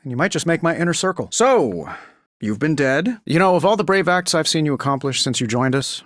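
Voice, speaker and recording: A wisecracking superhero inventor, speaks in a fairly high, very animated voice. He is self-assured and sardonic, tossing off conversational game banter in a close, dry sound. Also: a little fast, no room sound. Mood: wry. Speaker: video game character voice. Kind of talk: scripted game voice lines, quippy banter.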